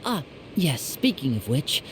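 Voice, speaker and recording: Lively, suave young male magician. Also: sits low, measured pace, slight background noise, rough recording. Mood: thoughtful. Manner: smooth-talking, polite, showy. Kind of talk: anime game voice acting. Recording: music behind the voice.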